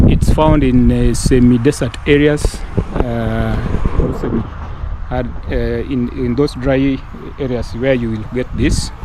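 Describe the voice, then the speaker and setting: A man from Kenya casually showing a house in a vlog, talking haltingly with many fillers and restarts. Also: medium pitch, noisy background. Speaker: vlogger touring a house. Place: outdoors at a rural house. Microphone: handheld camera.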